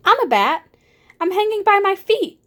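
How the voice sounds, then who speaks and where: A woman voicing a blunt, loud, know-it-all bat in a children's storybook. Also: very high voice, sing-song, normal speed, voice pushed up, exaggerated voice. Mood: matter-of-fact. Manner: loud, emphatic, matter-of-fact. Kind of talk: children's storybook read-aloud.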